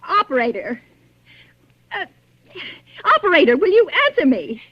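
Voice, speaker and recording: Frantic society wife, high and wildly swooping, stammering and indignant, old radio thriller, dry lo-fi sound. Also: female voice, very animated, normal speed, no echo. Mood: exasperated. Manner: agitated, stammering, demanding, indignant. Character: frantic, imperious, nervous wealthy married woman. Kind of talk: vintage radio thriller acting. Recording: dull lo-fi old radio recording.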